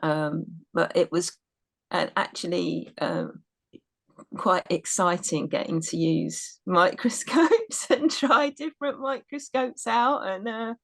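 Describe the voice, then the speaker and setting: A woman's voice: lowish voice, slow, vocal fry, dry recording. A woman who took part in a citizen science project, talking casually in a webinar, hesitant with restarts.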